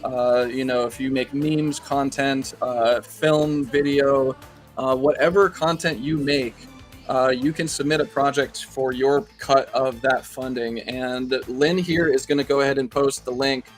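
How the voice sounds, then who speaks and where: A man's voice: medium pitch, steady tone, unhurried, soft background music. Hesitant man with a mid-range voice. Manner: hesitant, many fillers, apologetic. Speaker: co-host of a live stream. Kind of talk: casual live-stream chat.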